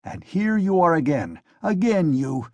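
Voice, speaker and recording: A man's voice: mid-range voice, very animated, moderate pace, slightly rough, no room sound. A man voicing a pompous, enraged police officer who scolds and threatens in a bullying tone. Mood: furious shouting. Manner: shouting, bullying, contemptuous.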